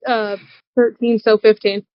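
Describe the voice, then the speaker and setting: A woman's voice: on the high side, level tone, unhurried, dry recording, dull audio. A woman talking casually as a player in a tabletop game.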